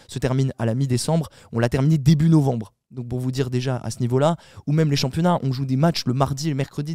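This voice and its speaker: A man talks quickly in a dark, mellow voice, recorded close and dry with no room sound. He is a podcast panelist telling a story in an animated way, restarting sentences and filling pauses as he goes. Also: medium pitch, dry recording. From Italian heritage. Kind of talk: casual podcast chat.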